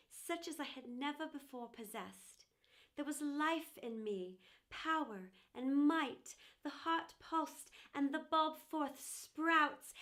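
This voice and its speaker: A high-pitched, self-important porcelain teapot with a wistful streak, voiced by a woman. It tells its life story in a grand, emphatic storybook manner meant for children. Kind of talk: children's storybook character narration.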